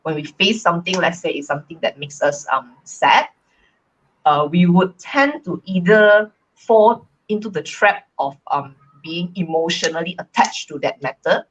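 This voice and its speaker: Husky, low-voiced woman from Malaysia, co-host, chatty and reflective. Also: normal speed, poor audio. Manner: relaxed, reflective, frequent fillers. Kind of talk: casual live-stream chat.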